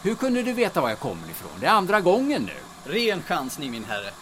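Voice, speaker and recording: A man's voice: fairly high pitch, wildly swooping pitch, pushed and bright, heavily acted. An actor playing a man in polite small talk between taxi driver and traveller in an audio drama. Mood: polite, guarded. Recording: clear engine and street noise behind. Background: vehicle engine ambience.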